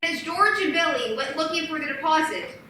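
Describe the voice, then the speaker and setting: A woman narrating a radio play in a measured storytelling manner. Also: high voice, animated, background noise. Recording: echoey room from a distance with music.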